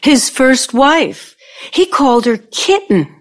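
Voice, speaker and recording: A woman's voice: sits high, big pitch swings, takes it slowly, full character voice. A woman playing a brash, nostalgic, scheming older former girlfriend in an audio play, with a knowing, theatrical manner. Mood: wistful, sly.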